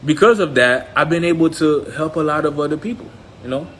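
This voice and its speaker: Male live-stream mentor from Haiti, slightly high voice, blunt, emphatic motivational delivery with frequent repetition. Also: brisk, fairly bright tone, slight background noise. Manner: blunt, emphatic, repetitive, often checking with listeners.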